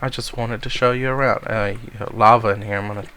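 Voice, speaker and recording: A man with a cold giving a casual, rambling tour of a game world, speaking softly to spare his voice. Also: on the low side, fairly even intonation, quick pace, background noise. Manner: rambling, many ums, soft-spoken to spare his voice. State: has a cold. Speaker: gamer giving a server tour.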